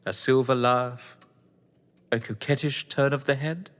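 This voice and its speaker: A man's voice: medium pitch, steady tone, unhurried, a bit bright, in a large hall, natural voice. A man playing a suave, scheming, condescending English crime novelist in a theatrical audio drama, with wry, florid delivery. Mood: sly, conspiratorial. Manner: pompous, wry, florid phrasing.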